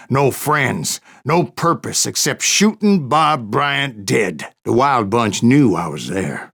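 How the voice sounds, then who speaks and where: Gravelly old American gunslinger. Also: male voice, medium pitch, fairly bright tone, heavily acted. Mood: bitter, then vengeful. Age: older. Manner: folksy, wry storytelling drawl. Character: grizzled old Wild West bounty hunter spinning tales. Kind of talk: dramatic first-person game narration.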